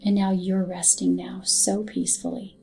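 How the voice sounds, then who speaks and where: A woman narrating a scripted hypnotic guided meditation in a soothing, soft and unhurried manner. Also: mid-range voice, flat delivery, gravelly. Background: background music.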